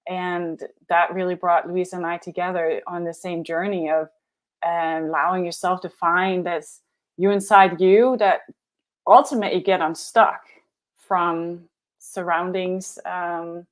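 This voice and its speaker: Soft-spoken woman. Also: fairly low pitch, steady tone, measured pace. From Denmark. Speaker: life coach. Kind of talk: casual online chat.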